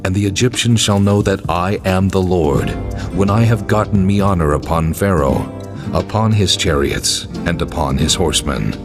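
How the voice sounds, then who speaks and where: A man playing a commanding, solemn God in a dramatized audio Bible, declaiming in measured archaic speech. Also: sits low. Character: God of Israel, commanding, solemn, majestic male deity. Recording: music behind the voice.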